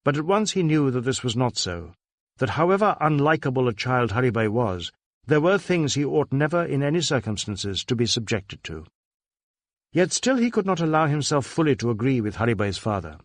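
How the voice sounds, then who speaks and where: A man's voice: mid-range voice, very animated, normal speed, dry recording. A man reading a crime novel aloud as scripted narration. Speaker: audiobook narrator.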